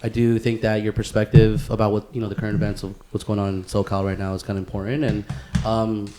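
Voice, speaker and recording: A man's voice: fairly low pitch, little pitch movement, fast, noisy background. Casual, slangy man hosting a podcast. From San Francisco Bay Area. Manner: slangy, heavy swearing, says bro and dude often. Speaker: podcast host.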